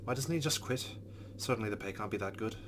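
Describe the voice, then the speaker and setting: A man's voice: lowish voice, quick pace, noisy background. A man reading a game's narration aloud, adding wry asides. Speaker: let's play host. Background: game music.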